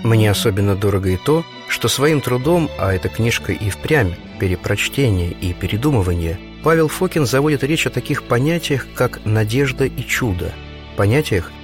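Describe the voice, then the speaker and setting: A man hosting a radio book segment, reading his scripted commentary in a measured, reflective way. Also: medium pitch, lively intonation. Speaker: radio host. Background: music.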